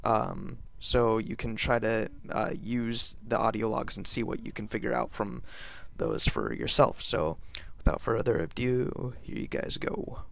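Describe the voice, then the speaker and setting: A man's voice: on the low side, lively intonation, a little slow, creaky, fairly bright tone, no echo. A young man giving casual, hesitant gameplay commentary, his voice a bit off from being sick. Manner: informal, hesitant. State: sick, voice slightly off. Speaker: gaming video creator.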